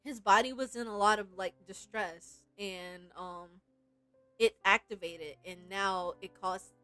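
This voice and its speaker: A woman game streamer chatting casually and reacting in an animated, giggly way. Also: medium pitch, measured pace, clean tone, dry recording, faint music behind. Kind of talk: casual livestream chat with read-aloud game lines.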